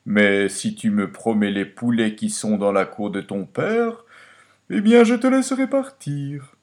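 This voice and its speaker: A man's voice: fairly low pitch, expressive, measured pace, a little mellow. A man voicing a sly male fox from a fairy tale, bargaining in a wheedling, swooping manner.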